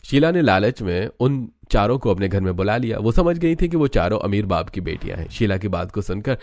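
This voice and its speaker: A man with a fairly low voice narrates a storybook tale. He reads from a script as the storyteller of a cartoon. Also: lively intonation, fairly quick, a little mellow.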